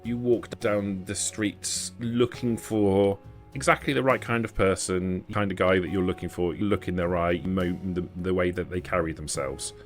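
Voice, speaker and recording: A man as game master, narrating and explaining a tabletop roleplaying game in an explanatory, improvised way. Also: low-pitched.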